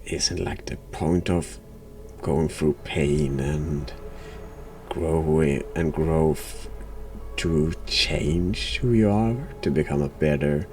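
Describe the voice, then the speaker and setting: A man streaming a horror game, giving casual reaction commentary in a halting, dry deadpan way. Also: extremely low pitch, faint music behind.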